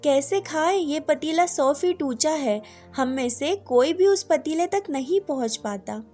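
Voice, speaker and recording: A woman's voice: high-pitched, expressive, a little slow, mellow, soft background music. A woman storyteller voicing a starving, miserable man in hell, plaintive and complaining. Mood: despairing.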